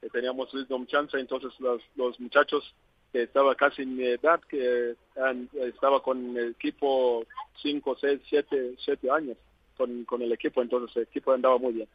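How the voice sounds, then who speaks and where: A man from Zambia, speaking Spanish as a second language, answers interview questions haltingly with many fillers. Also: medium pitch, little pitch movement, husky, dry recording, muffled recording. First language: not Spanish. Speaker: interview guest. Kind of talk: phone interview.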